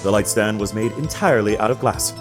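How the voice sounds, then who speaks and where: An actor playing a smug, affected detective agency boss on the witness stand in a video game drama, pompous and flowery. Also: male voice, lowish voice, a little fast, over music, natural voice. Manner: pompous, flowery, self-satisfied.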